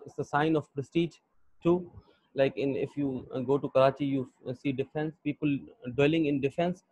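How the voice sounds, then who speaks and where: A young Pakistani man with a Pakistani accent, lecturing an online English class in a halting way with many fillers. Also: a little high, a little mellow, no echo. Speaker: English teacher. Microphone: computer mic on a video call. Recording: dull lo-fi video call audio.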